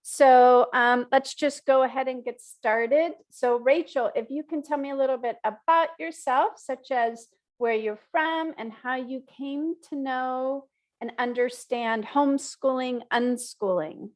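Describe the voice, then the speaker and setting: A woman's voice: high voice, measured pace. A woman in her fifties hosting a podcast interview over a video call, asking friendly questions in front of a studio mic. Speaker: podcast host. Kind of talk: casual interview. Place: room with whiteboard. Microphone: studio microphone on video call.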